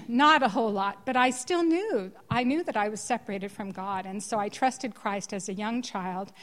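An older woman from California with an American accent, sharing her personal testimony to a church congregation, often clearing her throat. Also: mid-range voice, moderate pace. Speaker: guest speaker sharing testimony. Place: church hall. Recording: long echo of a church hall.

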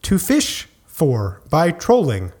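A man co-hosting a casual podcast, with a gravelly voice, playful and laughing as he reads things aloud. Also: fairly high pitch, huge pitch swings, takes it slowly, darkish tone. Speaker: podcast co-host. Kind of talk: casual chat.

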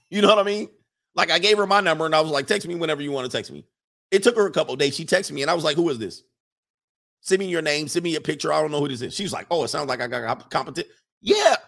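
Man, gravelly, lively up-and-down pitch, casual livestream host, reads chat, laughs a lot. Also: very fast. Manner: animated, laughs often, reads chat comments aloud.

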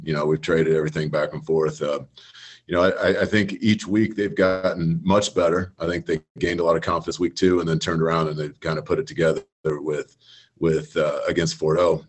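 Rich: A football coach speaks in a very low, husky voice over a video call. He rambles through his answers, stopping and restarting with plenty of fillers. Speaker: high school football coach, guest. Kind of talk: casual radio interview.

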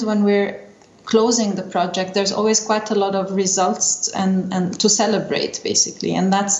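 A middle-aged woman from Lebanon giving unscripted interview answers, pausing often as she searches for words. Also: mid-range voice, darkish tone. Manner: hesitant, searching for words with frequent pauses. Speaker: interview guest, energy division chief. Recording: dull lo-fi roomy sound, faint noise.

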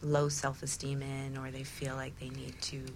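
A woman's voice: a bass voice, monotone, normal speed, noisy background. A woman hosting a conversational radio interview, affirming and summing up points as she goes. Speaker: talk show host.

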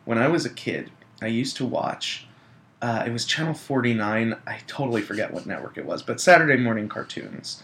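A man's voice: mid-range voice, animated, a little fast, a bit bright, slight background noise. A young man co-hosting a casual chat show, rambling and self-deprecating, laughing often as he talks. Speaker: podcast co-host.

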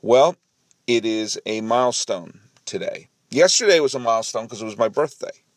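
Mid-forties guy doing a solo podcast, middle pitch, talks in loose restarts, dry home studio. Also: expressive, normal speed, dry recording. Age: 40s. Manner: chatty, rambling, self-deprecating, with frequent restarts. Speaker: podcast host. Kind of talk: casual solo podcast monologue.

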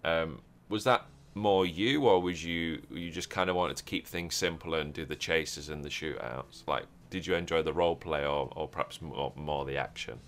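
Man, extremely low voice, casual podcast host, meandering, full of fillers. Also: moderate pace, hardly any pauses, fairly bright tone. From Europe. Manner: rambling, many fillers and restarts.

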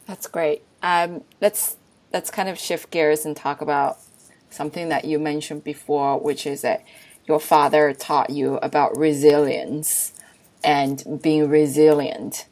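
A woman hosting an interview, asking questions in a measured, encouraging way. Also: low-pitched, little pitch movement, darkish tone. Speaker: interviewer host.

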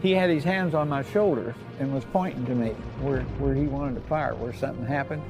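An older American man, a war veteran, telling his memories informally in a folksy, halting way with repeated phrases. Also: mid-range voice, over music. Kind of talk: informal oral-history storytelling.

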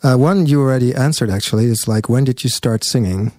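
A man's voice: mid-range voice, darkish tone, dry recording. A man hosting a live radio interview in a studio, talking casually and stumbling through fillers and restarts. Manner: chatty, halting with fillers and restarts. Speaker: radio host.

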